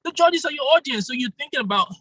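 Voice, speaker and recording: A man coaching contestants in an interactive online workshop, animated, laughing often and calling on participants by name. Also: very high-pitched, fast talker, no echo. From Nigeria. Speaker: public speaking coach. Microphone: video call.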